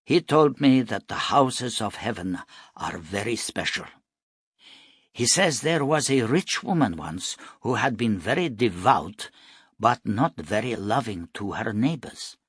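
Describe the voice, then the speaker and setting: A man voicing a gentle, wise wandering holy man in a storybook, speaking in a calm, measured, solemn way. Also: lowish voice, breathy edge, dry recording, studio quality. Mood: gentle, reflective.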